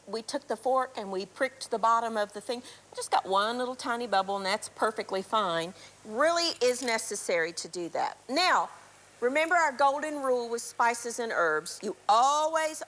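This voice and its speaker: A middle-aged woman, raspy from a cold, chattily walking viewers through a recipe on a live TV cooking show. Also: on the high side, fairly bright tone, rough recording. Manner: chatty instructional, throat clearing. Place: TV studio kitchen.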